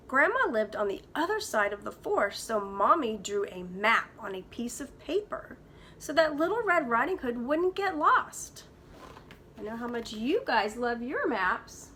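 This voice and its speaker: A woman's voice: a little high, big pitch swings, a little slow, pushed and bright, slight background noise. A woman preschool teacher reading a storybook aloud to young children, with dramatic emphasis.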